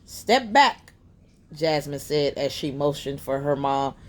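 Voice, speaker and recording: A woman reading a children's picture book aloud as a bedtime story, in a deliberate storytelling manner. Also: very deep voice, flat delivery, darkish tone.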